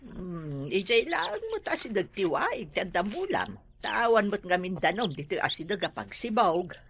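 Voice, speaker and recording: A woman's voice: medium pitch, very animated, moderate pace, creaky, fairly bright tone, slightly rough, no room sound, exaggerated voice. A woman playing a village mother who lectures her son with advice. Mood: advising.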